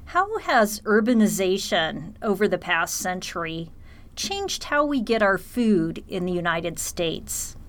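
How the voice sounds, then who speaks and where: A woman interviewer with a bouncy, lively pitch asks her questions warmly and plainly. She sounds like a writer holding a relaxed talk. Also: slow.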